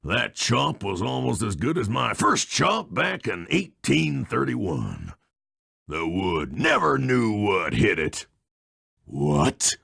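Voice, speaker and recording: An actor voicing a boastful, bullying old-time lumberjack villain in a cartoon game, raspy and taunting with a folksy rustic North American drawl. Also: male voice, low voice, a bit bright, no room sound, studio quality, full character voice. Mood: mocking, gloating, then menacing. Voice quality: gravelly, raspy.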